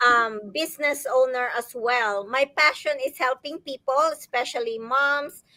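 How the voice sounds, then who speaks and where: A woman from the Philippines, a grandmother and guest entrepreneur, giving a friendly, measured self-introduction on a live panel. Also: high voice, bright, forceful tone, no room sound. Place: home in Georgia, USA.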